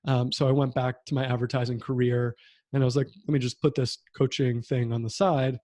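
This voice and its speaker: Mellow-voiced man telling his story. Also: medium pitch, little pitch movement, brisk, dry recording. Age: 30s. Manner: reflective, storytelling with many fillers. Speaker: podcast host. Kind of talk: conversational interview. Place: home room with bookshelf.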